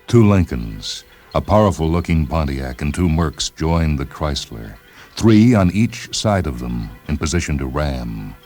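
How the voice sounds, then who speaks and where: A man narrating a short story in a deliberate, dramatic literary delivery, with music behind him. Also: extremely low pitch, big pitch swings, a little mellow. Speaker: narrator and reader of short stories.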